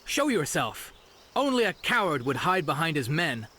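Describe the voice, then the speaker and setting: A man's voice: fairly high pitch, big pitch swings, normal speed, sharp tone, exaggerated voice. A man playing a bold, impetuous young hero in a video game, with a direct, challenging delivery. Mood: defiant. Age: young adult. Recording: clear insect and environmental noise behind.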